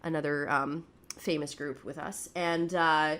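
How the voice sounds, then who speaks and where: Chatty woman with a low voice. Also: steady tone, a little slow, a bit bright. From Canada. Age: young adult. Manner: candid and chatty, laughing at herself. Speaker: podcast co-host. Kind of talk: casual personal storytelling.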